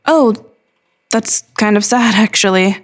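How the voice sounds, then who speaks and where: A woman's voice: mid-range voice, a little slow, lightly acted. An actress playing a smart, sardonic but caring American teenage girl in a conversational audio drama. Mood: casual, curious.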